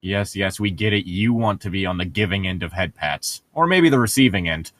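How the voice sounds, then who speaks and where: A man's voice: sits low, lively intonation, fairly quick, a bit bright. A man co-hosting a podcast in casual joking banter over a book being read aloud, sarcastic and teasing. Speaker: podcast co-host.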